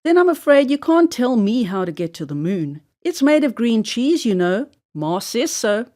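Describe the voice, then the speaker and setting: A woman plays a little storybook mouse, a naive and stubborn boy. The voice is fairly high and swings expressively, earnest and polite but insistent. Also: big pitch swings, normal speed, a little mellow.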